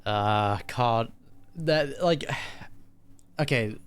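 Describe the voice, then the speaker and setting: A streamer giving informal reaction commentary on a game, thinking out loud in a casual way. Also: medium pitch, animated, drawn-out words, clear, resonant tone. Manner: informal, musing aloud. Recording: game music behind the voice.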